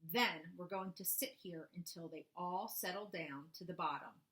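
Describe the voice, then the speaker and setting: A woman voicing a kindly, patient old grandfather cow in a storybook, gentle and coaxing. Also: lowish voice, moderate pace, roomy sound.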